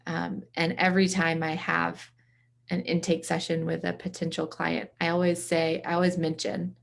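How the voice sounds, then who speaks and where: A woman's voice: lowish voice, completely flat delivery, moderate pace, creaky, a little hiss or hum. A woman from the US East Coast, speaking as an interview guest in casual, reflective conversation. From from the US East Coast, around Boston.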